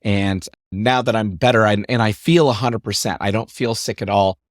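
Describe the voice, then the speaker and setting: A podcast host talks in a chatty, upbeat way and wanders into asides. His voice is on the low side and rough from a lingering cough. He speaks close into a headset, and the sound is dry. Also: normal speed, no echo. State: voice rough and lowered after a recent illness and cough. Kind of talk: casual podcast monologue.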